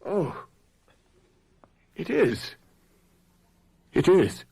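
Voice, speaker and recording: An actor playing a tormented gentleman lover in radio drama, fervent and melodramatic in formal period speech. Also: on the high side, sing-song, drawn-out words, gravelly, husky, full character voice. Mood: tormented, emotional. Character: anguished young gentleman lover, passionate, desperate, tormented. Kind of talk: 1970s radio drama acting.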